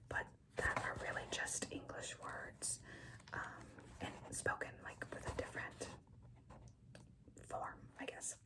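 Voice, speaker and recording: A woman's voice: recorded from a distance, low-quality recording. A young woman whispering an ASMR show-and-tell in a rambling, chatty way, full of fillers. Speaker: ASMR creator.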